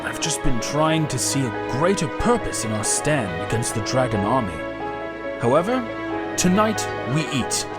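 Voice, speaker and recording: A man's voice: medium pitch, sing-song, normal speed, natural voice. A man playing an earnest, courteous commander in a fantasy audio drama. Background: loud music.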